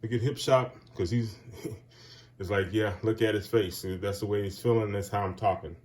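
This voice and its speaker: A man's voice: lowish voice, fairly even intonation, normal speed, darkish tone. An older man with a nasal voice from a summer cold, hosting his airgun collecting channel in a rambling casual talk to viewers. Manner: rambling, with many fillers and restarts, calls viewers "guys". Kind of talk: casual product talk to camera.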